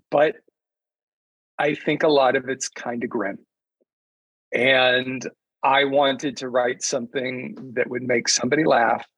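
A man speaks in a casual, reflective way as a guest author on a panel. He is halting, stopping mid-sentence to find his words. The voice is husky and fairly dry, with little room sound. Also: mid-range voice, a bit bright, dry recording, dull audio. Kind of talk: casual unscripted panel talk.

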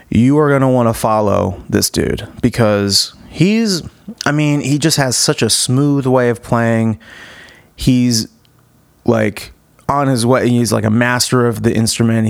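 A man's voice: on the low side, creaky, no echo. A man in his thirties hosting a podcast, talking casually and enthusiastically with lots of fillers and restarts. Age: 30s. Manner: rambling, filler-heavy, enthusiastic. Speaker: podcast host. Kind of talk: casual podcast intro and chat.

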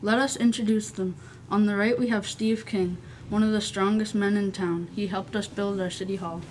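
A woman's voice: medium pitch, steady tone, moderate pace, a little mellow, natural voice. Young woman as a town announcer, noisy recording. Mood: formal, presenting. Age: young adult. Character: formal announcer presenting candidates to ancient Athens. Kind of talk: amateur old-fashioned radio play acting. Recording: clearly noisy amateur recording with clicks.

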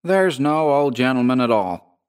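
Guy doing an boarding house landlady from a spooky story, slow folksy drawl, totally unfazed. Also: mid-range voice, lively intonation, fairly bright tone. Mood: cool, drawling. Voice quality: metallic voice. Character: coolly unbothered American landlady of a haunted boarding house.

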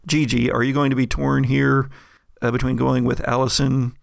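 A man's voice: medium pitch, little pitch movement, normal speed, gravelly, no room sound, clean studio sound. A man narrating a tabletop roleplaying game as game master and putting questions to the players.

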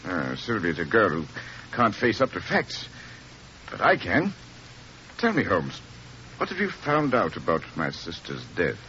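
A muffled, faintly noisy old radio play presents a tormented, imperious doctor. His delivery is theatrical and volatile, leaping dramatically up and down in pitch. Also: huge pitch swings, unhurried, pauses often, bright, forceful tone, exaggerated voice. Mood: bitter, probing. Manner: imperious, theatrical, abrupt swings. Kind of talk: melodramatic old-time radio acting.

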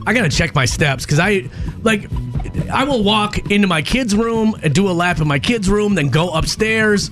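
A man co-hosting a morning radio show, explaining and bantering in an animated, conversational, punchy way from a studio. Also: high-pitched, moderate pace, soft background music.

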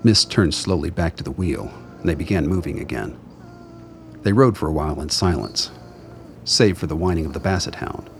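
Male narrator for an audio drama. Very low register, scripted storytelling, ambience underneath. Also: a little hiss or hum.

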